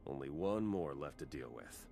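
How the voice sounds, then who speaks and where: An actor playing a brisk, steady male military commander in a video game, giving crisp orders. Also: a bass voice, faint music behind.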